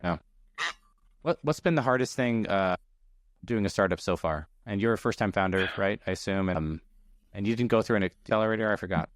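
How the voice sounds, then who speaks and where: A man with a deep, low voice hosts a podcast and asks his questions in an easygoing, relaxed way. His voice sounds dry and close to the mic. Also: a little fast, no room sound. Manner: relaxed, easygoing questions, frequent short agreements. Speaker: podcast host. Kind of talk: casual interview conversation.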